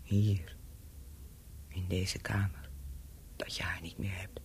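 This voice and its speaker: A woman's voice: a bass voice, flat delivery, slow, a little mellow, husky, in a whisper, heavily acted. A woman acting a worldly, mocking hotel owner in a radio play, teasing and sardonic in a theatrical way.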